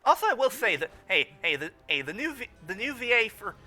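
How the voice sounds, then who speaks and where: Bright, high-pitched excitable man commentating. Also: soft background music. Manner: excitable, halting, repeats words and phrases. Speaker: co-host of a cartoon riffing show. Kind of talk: casual movie commentary.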